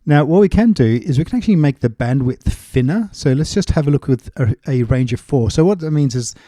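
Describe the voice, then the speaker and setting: A man giving a casual, step-by-step software tutorial, explaining things as he goes. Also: medium pitch, animated, fairly quick, dry recording. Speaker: music production tutorial presenter.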